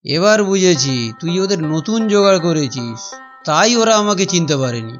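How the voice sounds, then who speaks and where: A man's voice: fairly high pitch, lively intonation, normal speed, soft background music, lightly acted. A man voicing a kindly but chiding village man in a cartoon, with a theatrical delivery.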